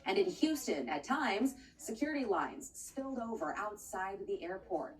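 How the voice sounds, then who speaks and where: A woman's voice: medium pitch, sing-song, normal speed, far from the mic, rough recording. A woman reading scripted news as an anchor, introducing reports.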